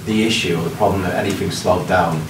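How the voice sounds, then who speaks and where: A deep-voiced male reporter asks his question from the floor. He sounds distant from the microphone, with a roomy echo. Clear background noise runs underneath. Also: steady tone, fast talker. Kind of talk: press conference question. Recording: echoey room, off-mic, noisy.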